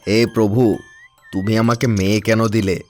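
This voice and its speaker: A man's voice: fairly low pitch, little pitch movement, moderate pace, faint music behind, natural voice. A man voicing a poor male lion in a cartoon, complaining and resentful. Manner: complaining, pleading to god. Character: poor male lion father, rejecting his daughter.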